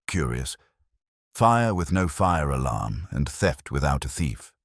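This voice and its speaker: Man playing a deep, calm police inspector. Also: slow, creaky, dry recording, clean studio sound, exaggerated voice. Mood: musing. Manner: gentle, quiet, probing. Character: calm, courteous male police inspector, quietly persistent.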